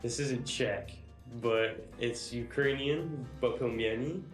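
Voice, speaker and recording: A man's voice: mid-range voice, slow. A young man from the United States, a missionary, answering interview questions in an easygoing way with lots of "um" and "like". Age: young adult. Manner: easygoing, fillers like um and like. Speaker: missionary guest. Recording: echoey room with music behind.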